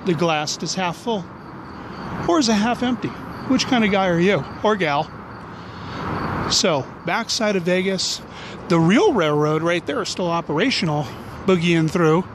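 A man's voice: high voice, unhurried, pauses often. A man in his forties giving a casual, rambling, jokey walking tour as a vlog host, recorded on a handheld camera outdoors. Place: outdoors on city back streets. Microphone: handheld camera at arm's length. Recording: noisy outdoor street with traffic.

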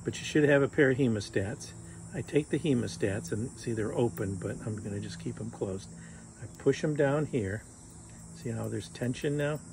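A man's voice: medium pitch, normal speed, gravelly, noisy background. A man in his sixties giving a casual, joking fly fishing lesson while tying knots on a sunny patio. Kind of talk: casual how-to demonstration. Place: outdoors on a sunny patio by a fence.

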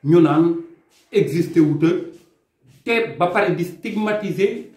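A man's voice: sits high, slow pace, darkish tone. A young Senegalese man, an immigration consultant, gives an impassioned, emphatic statement to camera, gesturing with his hands. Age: young adult. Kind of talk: earnest video statement, mixing French and Wolof. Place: small indoor room. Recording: echoey room sound.

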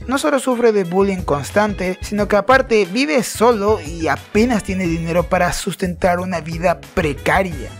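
A young man giving a casual, informal review as narration, with the odd swear word. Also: sits high. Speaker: manga reviewer. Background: background music.

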